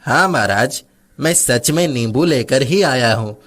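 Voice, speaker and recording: A man's voice: medium pitch, expressive, a little slow, a bit bright. A man voicing an earnest, determined young farmer's lad in a cartoon story, polite and firm. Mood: pleading. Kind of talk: Hindi cartoon story character dubbing.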